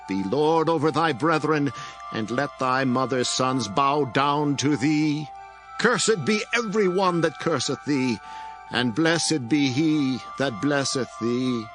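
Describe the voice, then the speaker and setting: A man's voice: fairly high pitch, fairly bright tone. A man playing a frail old blind father in a dramatized Bible drama, solemn and tender in archaic scripture dialogue. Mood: solemn blessing.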